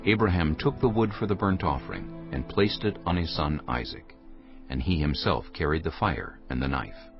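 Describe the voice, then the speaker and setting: A male narrator reads scripture in a very low, lively-contoured voice. His storytelling is solemn and clearly phrased. Soft music sits behind a slightly dulled recording. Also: normal speed, lo-fi sound. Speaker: Bible narrator.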